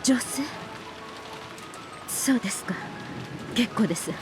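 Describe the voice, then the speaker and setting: Woman acting a curt, high-voiced lady of the manor. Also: lively intonation, moderate pace, music in the background, natural voice. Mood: stern.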